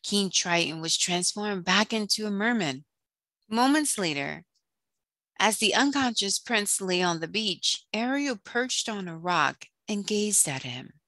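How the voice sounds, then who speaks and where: A woman narrating a scripted children's storybook in a deliberate read-aloud manner. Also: medium pitch, animated, bright, forceful tone, dry recording.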